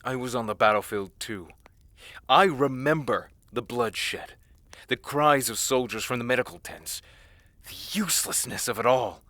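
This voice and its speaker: A man playing a bitter, protective young prince scarred by war, in an audio drama, speaking with deliberate intensity. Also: mid-range voice, sharp tone, dry recording, close to an everyday voice. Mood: indignant, bitter. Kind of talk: audio roleplay drama acting.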